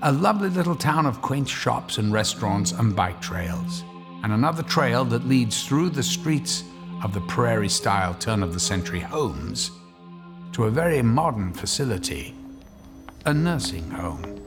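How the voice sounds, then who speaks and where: An actor narrating an audio drama as a measured, descriptive storyteller. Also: male voice, medium pitch, big pitch swings, music in the background.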